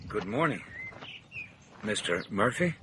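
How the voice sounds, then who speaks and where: Grave, formal company messenger. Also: male voice, fairly low pitch, sing-song, slow, pushed and bright, a little hiss or hum, full character voice. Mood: courteous, reserved. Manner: formal, hesitant, courteous. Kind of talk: naturalistic radio drama acting.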